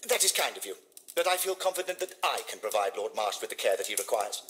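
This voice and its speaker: An actor playing a formal, eloquent, guarded Victorian physician in a video game, with a British accent and a theatrical manner. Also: male voice, lowish voice, sing-song, fast, bright, forceful tone, slight background noise. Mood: firm, polite. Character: smooth, affable but guarded Victorian physician to a lord. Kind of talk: scripted video game dialogue acting.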